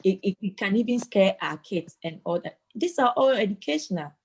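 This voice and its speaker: A woman's voice: medium pitch, lively intonation, fairly quick, a little mellow, breathy edge. An earnest woman from Ghana speaks in an online community meeting. She leads the talk and sometimes stammers on repeated words before a thought lands. She sounds like she is on a video call. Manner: earnest, repeats words when starting sentences. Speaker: participant moderating a community discussion.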